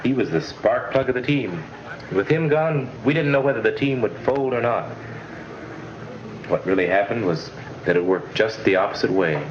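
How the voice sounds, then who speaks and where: A young man acts as an earnest, nostalgic student narrator in a 1950s school film. His voice sits fairly low and his telling is plain and folksy. The soundtrack is dull, with faint noise behind him. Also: expressive, normal speed, natural voice. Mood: earnest, reflective.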